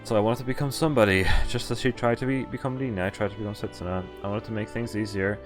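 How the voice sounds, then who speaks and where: A man streaming a visual novel, reading the text aloud and breaking off haltingly into muttered casual commentary. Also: lowish voice, steady tone, over music. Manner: halting, often pausing to mutter asides. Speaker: game streamer.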